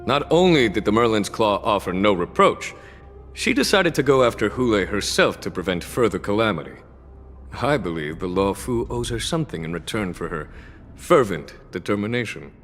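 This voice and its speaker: An actor playing a composed, authoritative general in a game cutscene, speaking in a measured way. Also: male voice, on the low side, expressive, a bit bright, long reverb tail, faint music behind. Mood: calm. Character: calm, composed male general in his prime.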